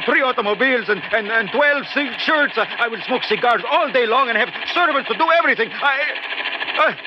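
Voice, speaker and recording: An actor playing an excitable, panicky man in an old-time radio drama, stammering and repeating himself. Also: very high-pitched, a little fast. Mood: excited, greedy. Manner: stammering, repetitive, frantic. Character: excitable, panicky Latin American man, greedy then terrified. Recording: noisy muffled old radio drama recording.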